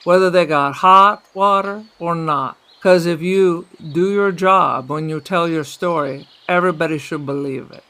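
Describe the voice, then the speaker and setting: A man plays an emphatic, streetwise police mentor in an audio drama, drilling points by repetition. The voice is fairly high for a male, forceful and bright, with a gravelly creak. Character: streetwise police mentor coaching an undercover cop in acting.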